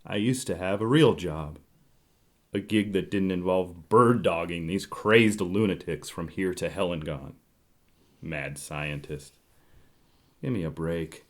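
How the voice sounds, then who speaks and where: A man's voice: sits low, lively intonation, takes it slowly, long pauses. A man playing a weary, cynical hardboiled private detective in a noir audio drama monologue. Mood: bitter, wistful.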